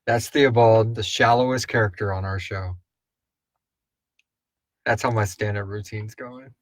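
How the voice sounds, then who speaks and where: A man's voice: lowish voice, steady tone, a little slow, no room sound. A man hosting a casual live question-and-answer chat, talking in a rambling, irreverent way with lots of "like". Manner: rambling, frequent "like". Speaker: host and writer of a horror audio drama.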